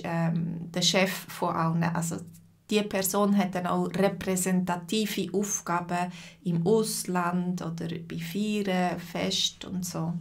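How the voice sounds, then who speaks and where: A woman with a Swiss accent hosts a live online lesson at an unhurried, deliberate pace. She talks to her viewers one by one and often pauses on fillers. Her voice sits at an ordinary middle pitch for a woman. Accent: Swiss German. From Switzerland. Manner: slow, clear speech with many fillers, greeting viewers by name. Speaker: Swiss German teacher hosting a livestream.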